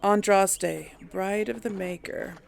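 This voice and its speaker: Slow, creaky-voiced woman gamer. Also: medium pitch. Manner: hesitant, thinking aloud, self-deprecating. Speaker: gamer, let's play host. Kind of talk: casual rambling game commentary.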